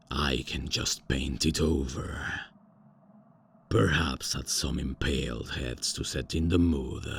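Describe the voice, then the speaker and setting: A man's voice: a bit bright, slight background noise, heavily acted. A man voicing an ancient, arrogant male vampire lord in an ASMR roleplay drama, speaking in a pompous, grand manner. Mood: indignant. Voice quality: very deep, gravelly, slow and pompous.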